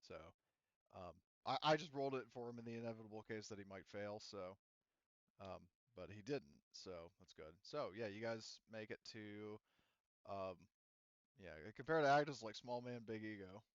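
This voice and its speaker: A man's voice: lowish voice, normal speed, clear, resonant tone, no echo, lo-fi sound. A man acting as game master, narrating a tabletop game in a casual, sweary, rambling and jokey way. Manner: rambling, jokey, acts out characters in quotes.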